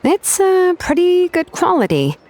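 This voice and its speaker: An upbeat young woman in a video game, high in pitch and hugely animated in her intonation. She comes across as generous and lively. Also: measured pace, heavily acted. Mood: upbeat, planning.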